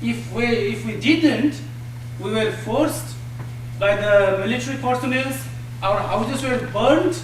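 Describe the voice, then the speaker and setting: High-voiced man in an echoey hall. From Bhutan. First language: Nepali. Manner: halting, with many pauses and fillers. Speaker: refugee panelist. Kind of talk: personal testimony to an audience. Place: large museum hall. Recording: echoey hall, distant mic, faint hum.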